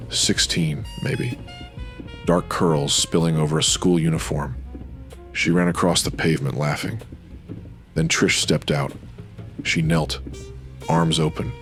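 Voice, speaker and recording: A man's voice: rumbling low, wildly swooping pitch, a little slow, long pauses, a bit bright, soft background music. A man delivering scripted noir radio drama narration in a brooding, dramatic manner.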